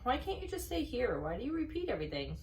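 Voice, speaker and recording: A woman voicing a brisk, clever female spider who takes charge in a storybook reading. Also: a little high, sing-song, voice pushed up. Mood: exasperated. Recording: echoey room, distant mic.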